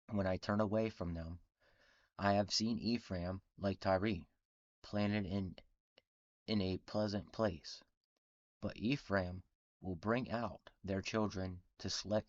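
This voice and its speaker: A man's voice: lowish voice, no echo, muffled recording. A man with a cold, sounding congested, reading scripture aloud and explaining it, stumbling and restarting words often. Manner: halting reading with frequent restarts and throat clearing. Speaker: Bible reading channel host.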